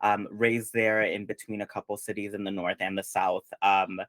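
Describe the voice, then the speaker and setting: Chatty young man hosting a panel, low voice. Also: flat delivery, moderate pace, a bit bright, no echo. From Peru. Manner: chatty, many fillers and restarts. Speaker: panel host. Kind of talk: casual panel chat.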